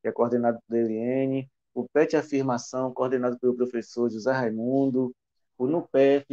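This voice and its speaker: A man's voice: mid-range voice, dark tone. A middle-aged man hosting an online academic debate on a headset mic, speaking with frequent fillers and restarts. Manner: hesitant, many fillers and restarts. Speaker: event host and philosophy department coordinator. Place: small room indoors. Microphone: earphone headset on video call. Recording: dull lo-fi video call audio.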